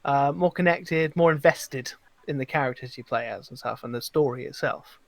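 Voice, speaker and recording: A man's voice: medium pitch, moderate pace, creaky, no echo. Hesitant man on a dry, close recording. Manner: hesitant, searching for words. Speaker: podcast co-host. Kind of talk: casual chat.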